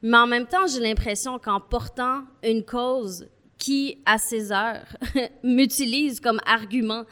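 Woman, columnist, reflective, measured, fairly high voice. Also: bright, forceful tone, clear, resonant tone. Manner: reflective, measured, speaks in nuances. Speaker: columnist guest. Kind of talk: panel discussion before a live audience.

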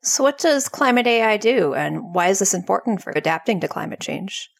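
A woman hosting a podcast, reading a scripted introduction and asking her guest questions in a measured way. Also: mid-range voice, lively intonation, creaky. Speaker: podcast host.

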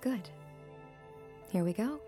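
A woman's voice: mid-range voice, expressive, takes it slowly, mellow, soft background music. A woman playing a young, alluring tarot reader in a naturalistic audio drama, with a teasing, mystical manner. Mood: calm, mystical. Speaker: actress.

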